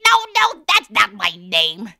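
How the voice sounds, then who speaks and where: A woman voicing a tiny, angry magical little man with a squeaky, taunting, drawn-out voice in a storybook tale. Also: huge pitch swings, vocal fry, bright, forceful tone, no echo, studio quality, exaggerated voice.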